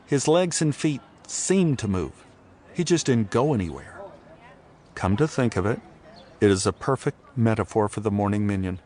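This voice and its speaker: An older man giving a scripted, reflective first-person narration for an audio drama, in a measured storytelling manner. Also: mid-range voice, a little mellow. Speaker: narrator and playwright telling his own story.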